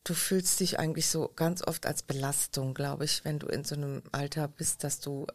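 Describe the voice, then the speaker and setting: A woman's voice: low voice, steady tone, normal speed. A woman chatting casually in a reflective, somewhat hesitant manner. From southern Netherlands region or locality called "Holland Süd". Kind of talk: casual conversational chat.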